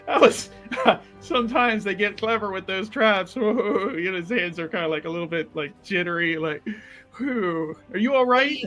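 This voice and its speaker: A man with a high, bright voice plays in a tabletop roleplaying game. He chats casually and improvises, sounding agreeable and quick to chuckle. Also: faint music behind.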